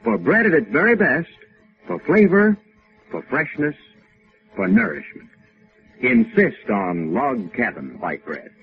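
A man's voice: a little high, wildly swooping pitch, unhurried, creaky, pauses often. A man reading a scripted 1950s radio commercial as announcer, polished and emphatic. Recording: narrow-band old 1950s radio, faint music.

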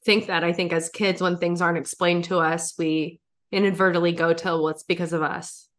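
A woman hosting a podcast, asking short, relaxed questions. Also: fairly low pitch, brisk. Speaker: podcast host. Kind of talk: casual interview.

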